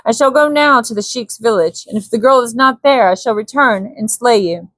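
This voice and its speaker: A woman's voice: fairly high pitch, normal speed, a bit bright, no room sound, rough recording. A stern, commanding man of the jungle, as played by a woman narrator in a storybook tale. The speech is blunt, demanding and menacing, with a dry, close sound. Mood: cold threat. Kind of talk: dramatic storybook character voice.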